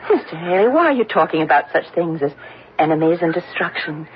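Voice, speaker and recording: Actress as a gentle, measured woman, muffled radio sound. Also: fairly low pitch, animated, a little hiss or hum, close to an everyday voice. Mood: gently philosophical. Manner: polite, measured, earnest. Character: gentle, thoughtful, timid married woman with philosophical bent. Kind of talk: old-time radio drama acting. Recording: muffled vintage radio recording.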